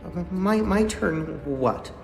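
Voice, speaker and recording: A man's voice: high voice, animated, slow, vocal fry, echoey room, big-room sound, soft background music, exaggerated voice. An actor playing a nervous, rambling young male engineer in a video game, who stammers and trips over his words. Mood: flustered. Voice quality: stammering, flustered, talkative. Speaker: lead engineer character.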